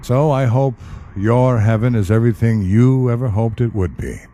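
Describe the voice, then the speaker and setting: A man acting a calm, solemn afterlife agent in an audio play, measured, gentle and matter-of-fact. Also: mid-range voice, a little hiss or hum, natural voice. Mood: gentle, reassuring.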